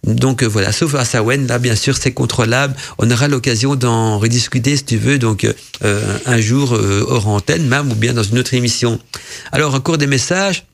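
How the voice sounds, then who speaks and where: A man's voice: medium pitch, normal speed, gravelly. A male radio host who is not a native French speaker, reading listeners' messages and interpreting their dreams in a rambling, casual way full of fillers and restarts.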